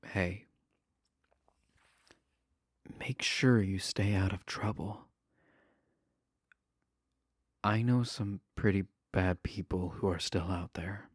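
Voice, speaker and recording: An actor playing a shy, adorkable imprisoned villain in a romance audio roleplay, nervous, earnest and stammering. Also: male voice, low voice, fairly even intonation, a little slow, no room sound, studio quality. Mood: hushed, tender. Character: shy, adorkable imprisoned male villain, smitten with pen pal.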